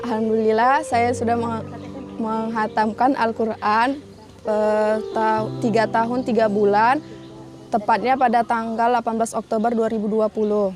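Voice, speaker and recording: A young woman in her late teens from North Sumatra, a Quran memorization graduate, who speaks quickly and stumbles over her words. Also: on the high side, little pitch movement, a little mellow. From North Sumatra, Indonesia. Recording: background music under speech.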